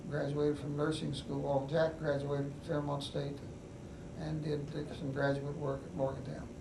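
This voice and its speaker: An older man with a West Virginian accent, telling his life story in an oral history interview in an unhurried, halting way with many pauses. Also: on the high side, monotone, vocal fry. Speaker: veteran interviewee. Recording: echoey room, mic at a distance, noisy.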